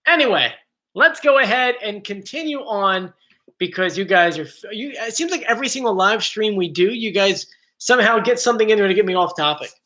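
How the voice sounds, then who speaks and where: Bright-voiced joking male stream host. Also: high voice, animated, a little fast. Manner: chatty, joking, easily sidetracked. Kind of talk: casual live stream chat. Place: home, bedroom area. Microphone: computer mic.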